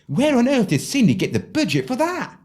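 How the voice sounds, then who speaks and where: A woman's voice: fairly high pitch, sing-song, fairly quick, a little mellow, slightly rough. A woman playing a vain, gushing ugly stepsister in an audio pantomime, melodramatic, affected and haughty.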